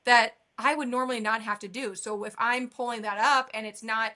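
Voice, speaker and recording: A woman's voice: on the high side, fairly even intonation, fairly quick, sharp tone. A woman from Nebraska hosting a podcast, chatting casually and affirming her guest, with rambling anecdotes. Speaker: podcast host. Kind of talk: casual interview chat.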